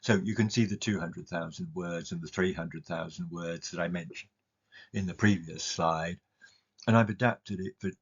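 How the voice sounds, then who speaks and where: A man presenting slides as a teacher, explaining things in a hesitant, halting way with repeated words. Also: sits low, vocal fry, breathy edge. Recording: slightly dull, lo-fi recording.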